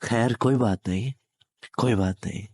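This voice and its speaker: A man voicing a possessive, menacing demon in human form for a roleplay audio, with deliberate, taunting delivery. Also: a little mellow, no room sound, natural voice. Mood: taunting, threatening. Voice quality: deep, smooth. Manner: slow, deliberate, taunting with mock sympathy and pauses. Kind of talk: intimate dramatic roleplay audio.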